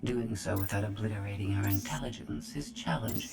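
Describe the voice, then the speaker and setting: A woman's voice: extremely low pitch, brisk. A woman voicing a cold, calculating female AI droid, precise and clipped, through a robotic filter. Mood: clinical.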